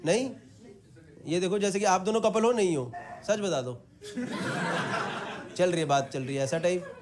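A man's voice: high-pitched, normal speed. A man in his thirties doing teasing, conversational stand-up crowd work on a handheld mic in a small club. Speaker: stand-up comedian. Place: small indoor comedy club on stage. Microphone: handheld microphone. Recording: very noisy live club recording. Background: room ambience, audience laughter.

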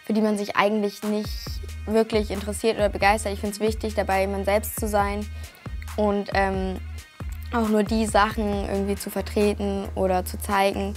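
A woman's voice: mid-range voice, flat delivery, moderate pace, a little mellow, soft background music. A young actress chatting casually in an interview, earnest and feeling her way through her phrases. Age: teens or young adult. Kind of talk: casual on-camera chat. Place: small cosy indoor room.

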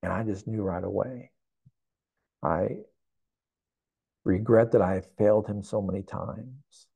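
An older man in his sixties preaches earnestly from a church, pausing to reflect and repeating his key phrases. His voice is low, gravelly and husky, with a mellow darkness, and the sound is dry and close. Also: dry recording. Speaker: pastor. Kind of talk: sermon. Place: indoor church sanctuary.

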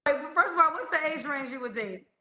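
A woman's voice: high voice, animated, brisk, bright, forceful tone, soft background music. A woman hosting a call-in dating show over video, blunt and teasing in casual chat.

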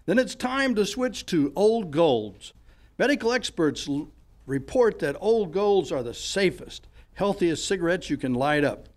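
A man's voice: high-pitched, expressive, unhurried. A man playing a polished, upbeat announcer and narrator in a 1940s-style radio show. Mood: selling. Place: live on a stage.

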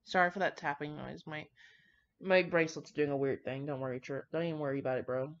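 Casual female reader, fairly low voice, brash and digressive, slightly dull home recording. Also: fairly even intonation, quick pace. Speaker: fan fiction reader. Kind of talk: casual read-aloud with running commentary.

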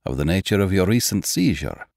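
A man voicing a grave, gentle gentleman doctor with a grim, measured authority in an audiobook. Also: deep voice, big pitch swings, vocal fry, no echo, clean studio sound, exaggerated voice. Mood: courteous, firm. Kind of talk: audiobook character acting.

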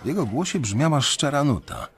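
An actor playing an uneasy young banker who narrates a radio drama in a measured, reflective way. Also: male voice, mid-range voice, sing-song. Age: young adult.

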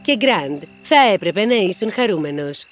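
A woman voicing a practical, measured mother who calms her family in a cartoon fairy tale. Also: on the low side, faint music behind, natural voice. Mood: gently chiding.

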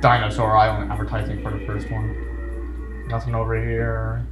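A man's voice: fairly low pitch, monotone, moderate pace, roomy sound. A man giving casual video game commentary with jokey, playfully sarcastic asides. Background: video game music.

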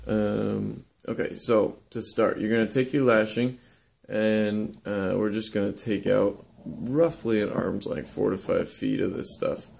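Gravelly-voiced man explaining a craft. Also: lowish voice. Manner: relaxed, halting, many ums and uhs. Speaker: woodworking instructor. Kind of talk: casual how-to demonstration. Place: indoor workshop.

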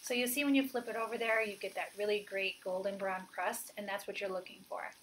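A woman's voice: medium pitch, steady tone, brisk, a bit bright, a little hiss or hum, rough recording. Upbeat woman chef explaining cooking steps. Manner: upbeat, explains each step plainly. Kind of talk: friendly cooking demonstration. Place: home kitchen, indoors.

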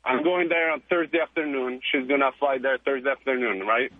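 A man's voice: mid-range voice, fairly quick, no echo. A man from Italy with an Italian accent, calling into a radio talk show and chatting casually and eagerly. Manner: chatty, eager, laughs easily. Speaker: caller. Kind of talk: casual call-in chat. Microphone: telephone. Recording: phone line.

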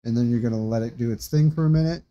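Guy doing a casual how-to, very mellow dark voice, kinda rambling, muffled home mic. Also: medium pitch. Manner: rambling, halting, informal explanations. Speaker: tutorial host. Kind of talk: casual screen-share tutorial. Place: small room indoors at a desk.

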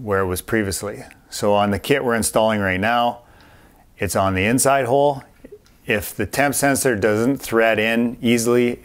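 A man's voice: fairly low pitch, clear, resonant tone. A middle-aged man in a workshop, casually walking viewers through a motorbike part install, plain-spoken with a few fillers. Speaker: parts company host demonstrating an install. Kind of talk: casual how-to walkthrough.

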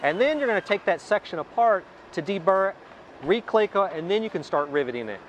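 A man's voice: high voice, very animated, fairly quick, muffled recording, a little hiss or hum. A man giving casual, practical explanations in an interview as a company representative and workshop instructor. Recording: music under the voice.

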